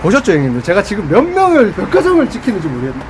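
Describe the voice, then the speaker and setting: A man talks fast and playfully, his high voice leaping up and down as he jokes with his viewers. He is filmed outdoors on a phone held at arm's length. Steady outdoor noise carries behind him. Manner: playful, animated, joking to viewers. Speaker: vlogger. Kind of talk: casual outdoor vlog chat. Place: outdoors under a roof by a roadside rest area.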